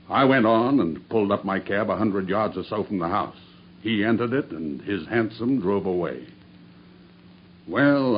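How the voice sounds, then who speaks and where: A grim, deep-voiced avenger in a radio play, telling his tale with slow, intense menace. The recording is dull and old, with a faint hiss beneath it. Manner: grim, intense storytelling narration. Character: vengeful man, a cab driver hunting his enemy. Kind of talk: 1970s-style radio drama acting.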